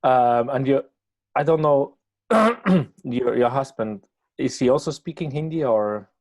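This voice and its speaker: A man with a Swiss German accent and that first language, chatting casually and a bit haltingly as a podcast host. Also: medium pitch, lively intonation, a little mellow, no echo. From Switzerland. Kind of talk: casual interview chat.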